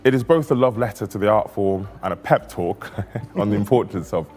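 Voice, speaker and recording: A man's voice: fairly low pitch, a little fast, a little mellow. A man from a band introducing their first song at a festival, speaking hesitantly with fillers and restarts. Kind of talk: stage introduction.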